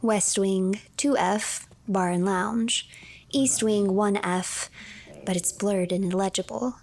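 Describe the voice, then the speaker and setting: A woman with a mid-pitched voice narrates a game as a story. She is measured and deliberate, reading out notes and files like a storyteller. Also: darkish tone.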